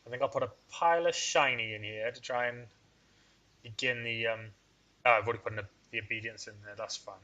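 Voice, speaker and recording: Bright-voiced man thinking aloud through a game. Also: mid-range voice, fairly even intonation, a little fast, dull audio. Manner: rambling, thinks aloud, self-deprecating. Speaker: let's play host. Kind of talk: casual gameplay commentary.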